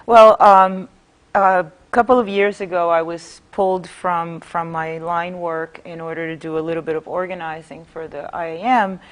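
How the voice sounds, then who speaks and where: Measured woman from Puerto Rico. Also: on the low side, fairly even intonation. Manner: measured, with frequent "uh" pauses. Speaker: union member guest. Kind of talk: studio interview.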